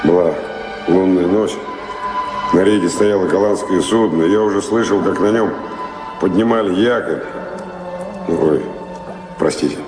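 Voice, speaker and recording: An actor playing a talkative, nostalgic former pirate and wanderer in an audio drama, musing in short halting phrases. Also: male voice, sits low, music in the background. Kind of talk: Russian audio drama acting. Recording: roomy reverberant hall sound.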